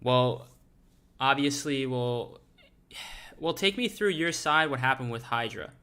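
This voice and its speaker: Host guy asking questions kinda unsure, lots of ums, bright crisp voice. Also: medium pitch, animated, a little slow. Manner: hesitant, filler-heavy questions. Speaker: podcast host. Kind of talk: casual interview.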